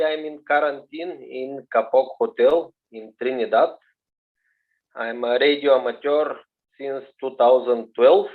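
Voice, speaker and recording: Bulgarian man on a tinny call. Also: medium pitch. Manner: halting, searching for words. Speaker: radio amateur guest. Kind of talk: casual introduction on a video call. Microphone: video call. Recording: tinny phone-like audio over a video call.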